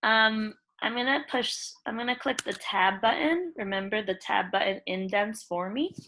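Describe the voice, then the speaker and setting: Creaky-voiced woman, schoolteacher, informal step-by-step tutorial, mid pitch, faint noise with keyboard clicks. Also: lively intonation, normal speed, sharp tone, low-quality recording. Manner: instructional, addresses children informally as "you guys". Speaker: elementary school teacher. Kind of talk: screen-share tutorial for pupils.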